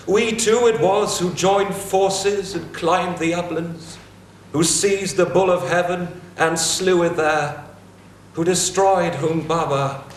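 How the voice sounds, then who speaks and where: A man playing a grieving king who mourns his dead friend in a slow, solemn staged reading. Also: fairly high pitch. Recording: echoey hall, faint noise.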